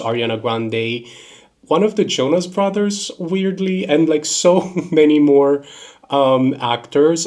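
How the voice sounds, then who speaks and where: A young man with a congested nose from a cold, giving a chatty, rambling reading wrap-up in quick bursts. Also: mid-range voice. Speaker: book reviewer. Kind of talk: casual monthly reading wrap-up.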